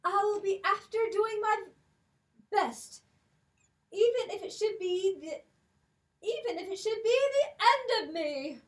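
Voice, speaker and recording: A woman's voice: very high voice, takes it slowly, pauses often, recorded from a distance. Molly, a woman voicing a plucky girl. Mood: earnest. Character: brave, clever poor young girl Molly. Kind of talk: children's puppet show.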